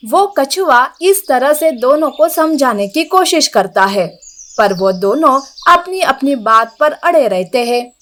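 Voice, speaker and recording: A woman's voice: sits high, lively intonation, a little slow, fairly bright tone. A woman narrating a scripted moral story for children.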